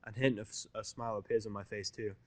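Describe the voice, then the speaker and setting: A man's voice: on the low side, flat delivery, quick pace. A man reading scripted first-person fiction in a wry, informal way. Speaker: audiobook-style fan fiction narrator.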